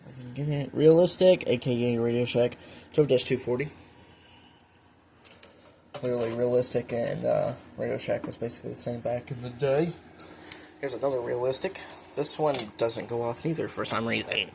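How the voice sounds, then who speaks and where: A man's voice: mid-range voice, fairly even intonation, moderate pace, gravelly, darkish tone. Folksy guy, noisy home recording. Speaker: hobbyist showing a collection. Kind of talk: casual show-and-tell narration. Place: indoors at home. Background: weather radios playing, background noise.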